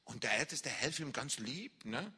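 Guy preaching, telling his own story, acts out the shouting, swoopy up-and-down voice, kinda husky, non-native german, long echo like a big hall. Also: medium pitch, moderate pace. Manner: animated, acts out shouts and reactions. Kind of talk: sermon testimony, storytelling.

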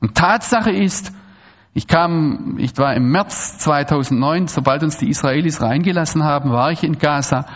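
A man addresses an audience in a lively, rambling way, circling back and restarting his sentences. His voice sits a little high for a man. The recording is dull and lo-fi. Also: moderate pace. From Swabia, Germany. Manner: animated, digressive, frequent restarts and asides. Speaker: speaker giving a public talk. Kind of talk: lecture to an audience.

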